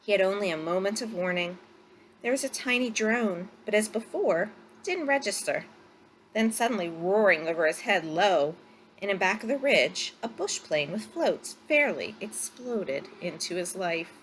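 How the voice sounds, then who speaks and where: A woman narrating a story aloud from a script, phrasing it deliberately for drama. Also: medium pitch, lively intonation, slight background noise, low-quality recording.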